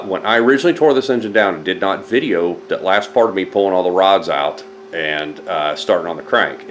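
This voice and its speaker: A man's voice: sits low, slight background noise. A man hosting a car rebuild video, talking casually and rambling, correcting himself as he goes and sounding stuffed up from a head cold. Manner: rambling, self-correcting, talks while hunting through boxes. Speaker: home mechanic video host. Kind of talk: casual garage vlog.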